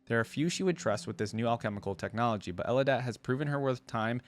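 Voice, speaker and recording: A man hosting a reaction video, commenting informally and reading aloud as he thinks out loud. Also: mid-range voice, quick pace.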